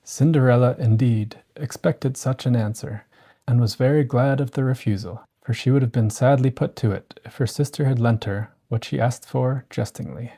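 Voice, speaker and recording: Mellow, gentle bedtime storyteller. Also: mid-range voice, normal speed.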